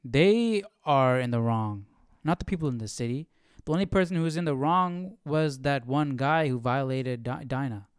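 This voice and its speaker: A man's voice: medium pitch, normal speed, smooth, dry recording, clean studio sound. A man hosting a Bible study with casual, reflective commentary, questioning and thinking aloud.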